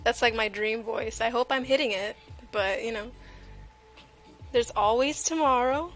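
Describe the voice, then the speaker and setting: A woman's voice: on the high side, unhurried, fairly bright tone. A woman livestream host chatting casually and jokingly while she draws, with a playful, self-deprecating way of talking. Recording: music playing behind the voice. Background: music.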